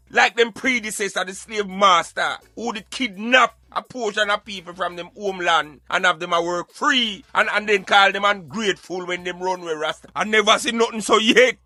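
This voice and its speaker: A man's voice: high-pitched, bright, forceful tone, dry recording. A Jamaican man arguing emphatically in Patois, in an impassioned debate. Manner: emphatic, argumentative, speaking in Patois. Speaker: radio show co-host.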